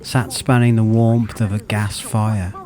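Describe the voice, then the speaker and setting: Low, slow, mellow male storyteller. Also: little pitch movement. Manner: slow, measured, literary. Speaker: narrator. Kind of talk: scripted first-person storytelling narration.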